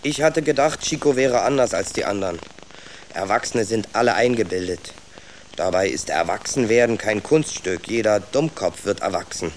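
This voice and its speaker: A man's voice: fairly low pitch, lively intonation, normal speed, a little hiss or hum, natural voice. A man playing a small, curious young rat in a children's radio play, naive and earnest in his way of talking. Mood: indignant. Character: small, curious, admiring young rat, eager to see the world.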